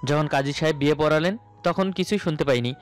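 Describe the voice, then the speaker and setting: A man's voice: on the high side, quick pace, clean tone. A man narrating a romantic story in the first person, in a dramatic storytelling style. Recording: music bed under the voice. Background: background music.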